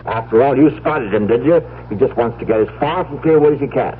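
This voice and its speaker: An actor playing an anxious, loving, stubborn farmer husband in a radio drama, with a halting, stammering urgency. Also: male voice, medium pitch, close to an everyday voice. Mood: urgent. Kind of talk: vintage-style radio drama acting. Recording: muffled, noisy old radio drama recording.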